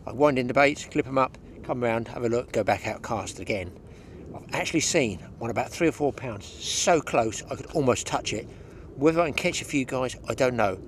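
Talk to the viewers like a man in his sixties teaching them to fish at the lakeside: easy and chatty, mid pitch. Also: animated, normal speed, a little hiss or hum. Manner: chatty, instructive, talks to viewers as guys. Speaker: fishing show host. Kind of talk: casual outdoor fishing commentary. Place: outdoors at a lakeside.

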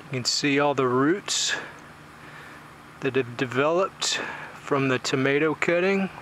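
A man's voice: medium pitch, unhurried, a bit bright, a little hiss or hum. A man with a plain, folksy way of talking, casually narrating his home gardening vlog.

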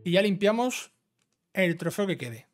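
A man's voice: high-pitched, sing-song, a little slow, soft background music. A man with an accent from Spain giving an informal, instructional step-by-step video game walkthrough. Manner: instructional, often ends phrases with a checking tag. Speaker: video game trophy guide host.

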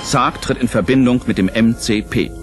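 A man narrating a German radio play in a theatrical, deliberate scripted style. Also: medium pitch, over loud music.

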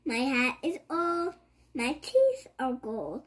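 Slow, high-voiced child reading aloud. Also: big pitch swings, fairly bright tone, breathy edge. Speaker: child reader. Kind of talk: reading a picture book aloud.

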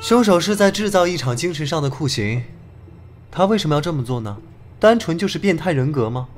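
A man's voice: on the high side, expressive, fairly quick, natural voice. An actor playing a confident young police detective in an audio drama, laying out his reasoning in an articulate, methodical way. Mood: earnest, analytical. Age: young adult. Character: confident young male police detective, analytical, returned from abroad. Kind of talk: Chinese audio drama acting.

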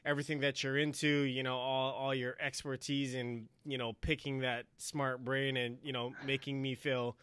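A chatty male podcast host with a bright, forceful voice, talking easily with a guest. The sound is close and dry, with no room around it. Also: mid-range voice, little pitch movement, moderate pace, few pauses, clean tone, no room sound. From Hawaii. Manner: friendly, laughing, chatty. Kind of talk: casual interview chat. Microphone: headset.

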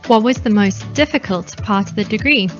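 A woman narrator reading clear, scripted voice-over questions. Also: medium pitch, a little fast, dull audio, faint music behind.

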